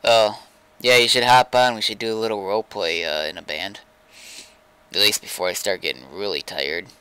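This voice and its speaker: A man's voice: lowish voice, fairly even intonation, moderate pace, sharp tone, smooth, dry recording, low-quality recording. A man chatting casually on a gaming livestream, rambling and thinking aloud as he goes.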